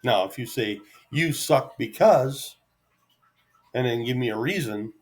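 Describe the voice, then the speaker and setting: Middle-aged guy just rambling to his camera, medium voice, halting, easygoing, pokes fun at himself. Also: medium pitch, animated, a little mellow. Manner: halting, easygoing, self-deprecating. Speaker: vlogger. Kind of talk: unscripted solo ramble to camera.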